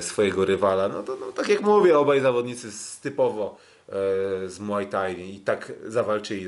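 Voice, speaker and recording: A man hosts a sports podcast. He speaks casually and haltingly, often doubling back on words as he recaps. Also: lowish voice. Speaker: podcast host.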